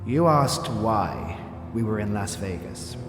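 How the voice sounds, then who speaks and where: A worldly older mentor voicing a letter in an audio drama. He is fatherly, genteel and measured, tender with regret, his voice echoing in a long reverb over music. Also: lowish voice, expressive. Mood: grave.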